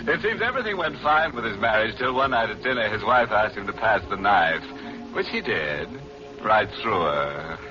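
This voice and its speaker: A man's voice: fairly low pitch, big pitch swings, over music. A man playing a gleefully macabre, punning radio horror host in a theatrical 1940s style, on a muffled old broadcast. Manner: theatrical, slow, ghoulish joking.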